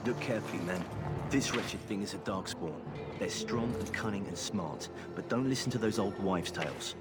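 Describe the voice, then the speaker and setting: A low-voiced, grim army sergeant played by a man addresses his men in a fantasy game. He is blunt and commanding, grave in every word, with loud music playing behind him. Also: moderate pace, natural voice. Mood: stern, grim. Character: stern male army sergeant instructing soldiers, grim. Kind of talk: video game dialogue.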